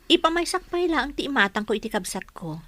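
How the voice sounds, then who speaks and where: A woman's voice: high voice, sing-song, fairly quick, fairly bright tone, full character voice. A woman playing a responsible, protective, hardworking older sister in a radio drama. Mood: caring, firm.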